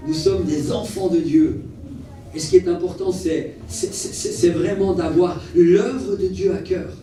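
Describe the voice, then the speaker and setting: A male evangelist speaks in a very reverberant room, picked up from some distance. His voice is slightly high and mellow. He delivers an earnest, animated sermon built on personal stories, repeating key phrases for emphasis. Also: unhurried. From Portuguese family origin. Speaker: travelling evangelist. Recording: echoey hall, distant mic, faint noise.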